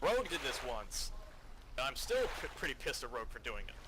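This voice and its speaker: A man's voice: mid-range voice, big pitch swings, brisk. Foul-mouthed man briefing a squad, very noisy. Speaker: squad leader giving a briefing in an online military roleplay game. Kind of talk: improvised mission briefing over game voice chat. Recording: loud background noise, game ambience.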